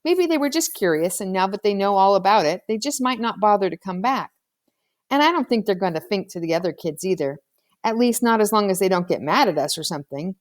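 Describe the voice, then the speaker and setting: A woman voicing a sharp-tongued, skeptical young schoolgirl with a blunt manner in an audiobook. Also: medium pitch, expressive, a little fast, natural voice. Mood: doubtful. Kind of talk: audiobook character dialogue.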